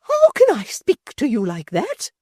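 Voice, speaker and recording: A woman reading an audiobook, voicing a haughty, indignant middle-aged lady with a shrill, theatrical, slowly emphatic scorn. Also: huge pitch swings, darkish tone, no echo, studio quality, heavily acted. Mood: scornful. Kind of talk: audiobook character voice.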